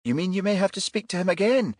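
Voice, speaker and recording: A haughty woman in her late forties, played by a male narrator in a crime audiobook. The voice is lifted high and moves freely, with cool condescension and a sardonic edge, recorded close and dry. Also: expressive, fast, no echo. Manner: condescending, dispassionate.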